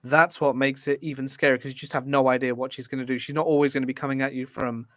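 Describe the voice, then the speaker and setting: A man guest in a casual podcast debate, laying out his argument in a measured, deliberate way. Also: medium pitch, flat delivery.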